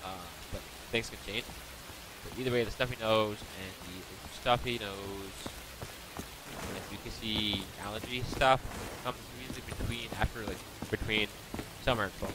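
A man with a blocked, stuffy nose talks casually over his gameplay in a somewhat low voice. He rambles and swears at the game from time to time. Heavy rain from the game pours loudly behind him. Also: steady tone, moderate pace, background noise. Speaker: gaming channel host.

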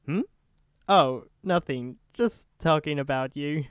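A man's voice: on the high side, slow pace, clean tone, no echo, clean studio sound. A man playing a nosy, easygoing young friend in an ASMR roleplay, casual and teasing.

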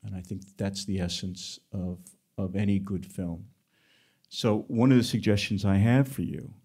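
A man from the United States gives film students earnest, emphatic advice. His voice is somewhat low and mellow.

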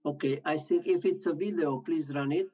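A man giving an unrehearsed medical slide presentation, improvising his commentary in a halting way. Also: fairly high pitch, darkish tone, slightly rough. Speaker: conference presenter. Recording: dull, muffled lo-fi audio.

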